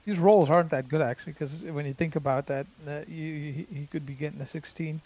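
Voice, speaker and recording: Fast-talking, higher-voiced man on a lo-fi mic. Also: steady tone, darkish tone. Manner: teasing quick remarks. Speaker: player. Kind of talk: casual tabletop game chat. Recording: dull lo-fi recording.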